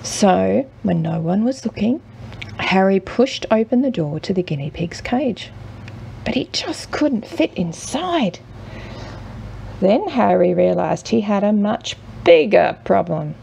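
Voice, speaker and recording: A woman reading a children's picture book aloud as a mother would, expressively and with dramatic pauses. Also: medium pitch, lively intonation, gravelly, a little hiss or hum. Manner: measured, with dramatic pauses and hushed drawn-out words. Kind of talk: expressive children's storybook narration.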